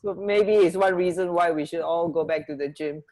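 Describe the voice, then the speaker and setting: A woman's voice: on the low side, moderate pace, a little mellow. A woman co-hosting a radio show, sharing trivia in an informal, giggly conversational way. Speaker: radio co-host. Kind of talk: casual chat, sharing trivia.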